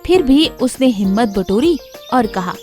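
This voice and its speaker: A woman storyteller reading scripted children's moral tales in an expressive way. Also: a little high, a little slow, soft background music.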